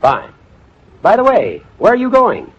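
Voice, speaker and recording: An actor playing a wry shop clerk who teases, in 1940s radio comedy style. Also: male voice, high voice, wildly swooping pitch, a little slow, husky, dull audio, exaggerated voice. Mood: teasing. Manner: teasing. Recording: old radio recording with loud noise.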